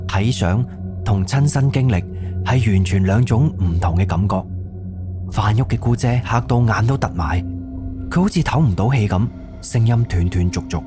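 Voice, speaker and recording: A man with a Cantonese accent narrating a scripted suspense ghost story for an audio drama. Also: fairly low pitch. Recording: soft music under the voice. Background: background music.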